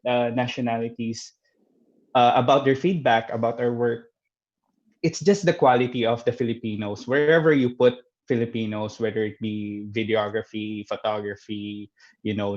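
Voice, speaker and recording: A man's voice: medium pitch, muffled recording. A man working as a videographer, chatting casually on a panel, hesitant with many fillers and restarts. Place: Dubai.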